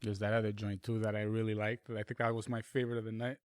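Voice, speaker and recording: Male podcast host, lowish voice, quick delivery, casual slang and swearing, dry sound. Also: level tone, no echo. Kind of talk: casual chat, interviewing.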